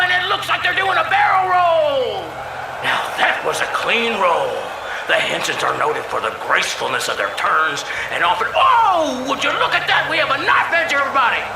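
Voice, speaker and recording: Excitable flying-circus announcer, gravelly edge, echoey distant sound with clear noise, radio drama. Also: male voice, very high voice, full character voice. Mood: showy, building. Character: excitable male airshow announcer calling stunts.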